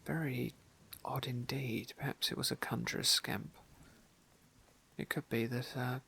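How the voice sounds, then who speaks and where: A man narrating a game playthrough as ASMR roleplay, soft-spoken and politely wordy, with a breathy, often whispery voice close to the microphone. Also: mid-range voice, fairly even intonation, measured pace, a little hiss or hum.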